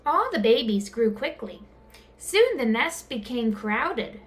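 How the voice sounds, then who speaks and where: A woman reading a children's storybook aloud in an expressive, dramatic way, drawing out words. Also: on the high side, slow. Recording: clear background noise.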